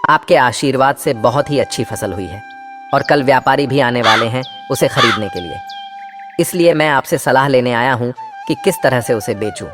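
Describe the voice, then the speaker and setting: A man's voice: medium pitch, normal speed, loud music behind the voice, natural voice. A man voicing an earnest, polite young crow farmer in a children's cartoon story.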